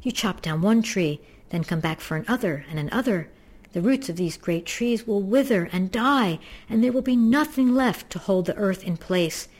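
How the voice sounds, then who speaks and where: A woman's voice: medium pitch, lively intonation, moderate pace, a little mellow, voice pushed up, lightly acted. A woman voicing a worried, scolding rainforest monkey with a chattering manner in a storybook. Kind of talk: storybook character voice.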